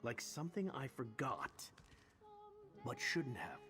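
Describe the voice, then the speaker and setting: An actor playing a roguish, sincere adventurer in a video game, wry and a little haunted. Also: male voice, medium pitch, big pitch swings, takes it slowly, pushed and bright, soft background music, full character voice. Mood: puzzled, earnest. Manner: wry banter turning earnest and reflective.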